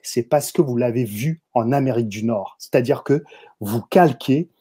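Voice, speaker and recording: A man with a Parisian accent speaks as a guest in a heated discussion. His voice is soft-toned and a little husky, and he hammers his points home as if lecturing the audience directly. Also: medium pitch, normal speed. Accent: Parisian French. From France. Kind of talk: opinionated discussion commentary.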